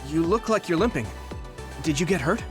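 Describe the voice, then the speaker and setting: A man's voice: on the high side, lively intonation, moderate pace, close to an everyday voice. A man playing an earnest, polite young rookie soldier in an anime game, with some music behind him. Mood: concerned. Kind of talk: English anime game voice acting.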